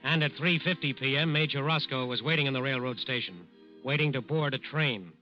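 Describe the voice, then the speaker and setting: A man narrating dramatically in 1940s radio style, medium in pitch. The recording is muffled, with prominent music under his scripted delivery. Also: fairly quick.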